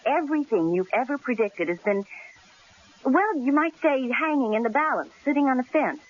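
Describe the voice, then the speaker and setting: An actress playing an earnest, sharp newspaper secretary in a radio drama, affectionate but uneasy, with expressive delivery. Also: female voice, high-pitched, normal speed. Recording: muffled old radio broadcast with hiss.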